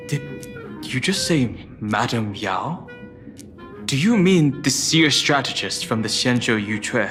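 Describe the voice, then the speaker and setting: Earnest, unhurried young adventurer. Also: male voice, mid-range voice, wildly swooping pitch. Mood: surprised. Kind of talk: video game voice acting. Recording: music under the voice.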